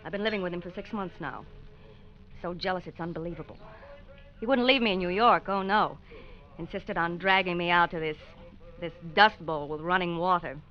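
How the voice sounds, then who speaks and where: A woman's voice: fairly low pitch, a little fast, close to an everyday voice. A woman playing a bored, sophisticated and bitter wife in an old radio drama, dripping dry sarcasm. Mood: bitter, sardonic. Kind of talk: 1940s-style radio drama acting. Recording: dull old radio recording.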